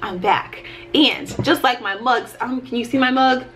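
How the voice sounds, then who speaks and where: Female, 30s, high voice. Coach-style YouTuber: casual, motivational, emphatic, folksy check-ins. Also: unhurried, a bit bright, faint music behind. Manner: emphatic, folksy, often checking in with "okay?" and "right?". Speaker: YouTuber and life and business coach. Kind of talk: casual motivational vlog talk to camera.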